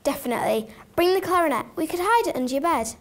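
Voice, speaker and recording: A woman's voice: very high voice, expressive, fast talker, a little hiss or hum. A woman playing a keen, curious schoolgirl friend in a radio play.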